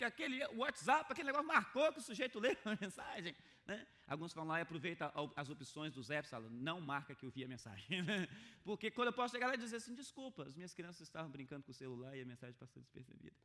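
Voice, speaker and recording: Pastor, quick and animated delivery, acts out scenes in a sermon. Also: male voice, high voice. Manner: lively, acts out little dialogues with laughs. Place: church.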